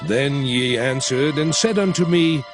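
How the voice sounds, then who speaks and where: A man voicing Moses, slow and solemn. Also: mid-range voice, lightly acted. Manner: slow, solemn, dramatic recounting. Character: Moses, aged solemn male prophet and leader. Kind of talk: dramatized scripture narration. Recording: studio voice over music. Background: music.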